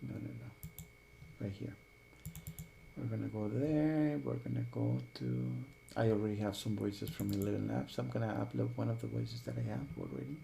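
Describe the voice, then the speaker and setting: A man hosting a software tutorial, explaining each step while thinking aloud. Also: medium pitch, animated, moderate pace, creaky, slight background noise. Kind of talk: screen-recorded tutorial.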